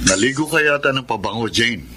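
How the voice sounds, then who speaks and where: A man's voice: mid-range voice, lively intonation, fairly quick, pushed and bright, faint music behind. A Filipino man playing a stern, suspicious womanizing father in a radio drama.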